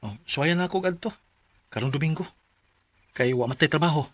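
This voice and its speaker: A man's voice: a little high, fairly quick, natural voice. A man acting a humble, proud widowed father of five in a Bisaya radio drama, speaking in a plaintive, earnest way with a Filipino Bisaya accent. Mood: wounded pride.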